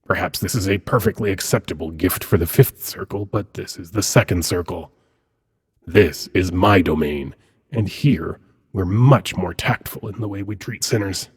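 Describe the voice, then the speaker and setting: A man playing a suave, haughty demon prince in a dramatic audio roleplay, speaking in a husky, measured, courtly and condescending manner. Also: sits low. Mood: offended, condescending. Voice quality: husky, breathy. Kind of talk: dramatic ASMR roleplay monologue.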